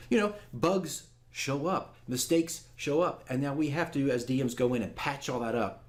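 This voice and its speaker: A man speaking candidly and casually to viewers as a dungeon master, earnest and self-critical in a conversational way. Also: mid-range voice. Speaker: dungeon master giving a campaign diary.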